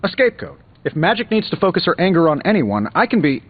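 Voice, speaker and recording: An actor playing a cocky billionaire inventor superhero in a video game, glib and sarcastic with playful quips. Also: male voice, fairly high pitch, big pitch swings, fast, no room sound, narrow, tinny audio. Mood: wry. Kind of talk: video game character dialogue, quippy banter. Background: music.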